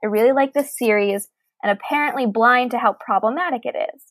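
Higher-voiced woman podcasting in a dry studio. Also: brisk, no echo. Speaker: podcast co-host. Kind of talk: reading listener email aloud and casual book discussion.

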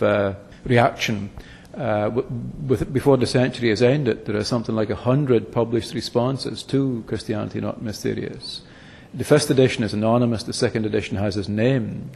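Halting academic, gravelly, echoey. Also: male voice, mid-range voice, a little mellow. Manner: halting, frequent restarts and self-corrections. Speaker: philosophy lecturer, interview guest. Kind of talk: explanatory academic interview answers. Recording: long reverb, echoey room.

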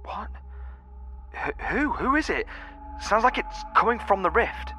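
A man's voice: medium pitch, animated, moderate pace, bright, forceful tone, faint music behind. A man playing a terse expedition leader in an audio drama, using clipped, military-style phrasing. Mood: stunned. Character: terse male expedition leader exploring an anomaly. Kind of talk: naturalistic audio drama acting.

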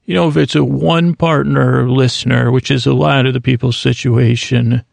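Male sleep-podcast host, heavily gravelly creaky voice, dry close sound, meandering and self-deprecating. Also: mid-range voice, level tone, moderate pace, no room sound. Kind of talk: rambling bedtime talk.

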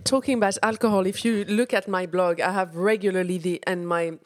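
A woman's voice: fairly low pitch, steady tone, brisk, no echo. Woman explaining with conviction, dry sound. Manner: explains health facts with conviction. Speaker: podcast co-host. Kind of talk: casual chat.

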